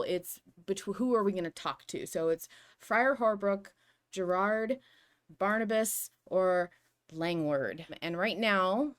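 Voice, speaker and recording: A woman hosting a fan podcast, chatting casually in an animated, opinionated way with some swearing. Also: on the low side. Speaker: podcast host.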